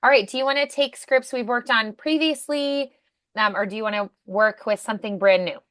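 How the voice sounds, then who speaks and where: A woman's voice: fairly high pitch, fairly even intonation, fairly quick, a bit bright, dry recording. Encouraging woman coach with a dry sound. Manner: encouraging, affirming. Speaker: voice acting coach. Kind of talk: casual one-on-one coaching conversation.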